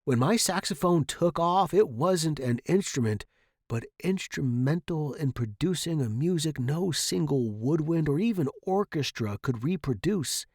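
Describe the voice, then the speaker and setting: A man's voice: mid-range voice, a little mellow, no echo, studio quality. A man playing an earnest, self-assured saxophonist in a theatrical, emphatic first-person story monologue. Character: earnest, self-assured male saxophonist recalling his mentor. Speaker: story narrator.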